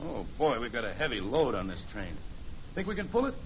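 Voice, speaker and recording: An actor playing a kindly middle-aged American father in a 1950s radio sitcom, gentle, folksy and patient as he plays along with a child. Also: mid-range voice, very animated, a little fast. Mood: playful, eager. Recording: muffled, hissy vintage radio recording.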